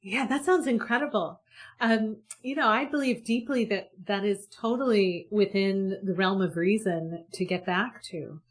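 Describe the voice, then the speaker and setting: A woman's voice: medium pitch, unhurried. A woman guest in an interview, talking earnestly and hopefully about communication in a chatty way with many restarts. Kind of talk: conversational interview.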